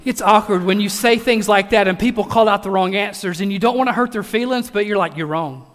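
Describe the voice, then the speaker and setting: Guy pastor with a high voice, joking around mid sermon, lots of echo in a big church. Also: fairly quick, a bit bright. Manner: chatty, self-deprecating humor, frequent restarts. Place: church sanctuary. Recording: long hall reverb.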